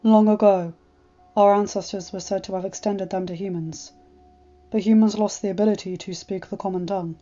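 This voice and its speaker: A woman's voice: medium pitch, steady tone, brisk, mellow, highs cut off, faint music behind, lightly acted. A woman doing a grave, formal dragon. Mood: solemn. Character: solemn formal male dragon, the Nightmare. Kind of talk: fan fiction audiobook reading.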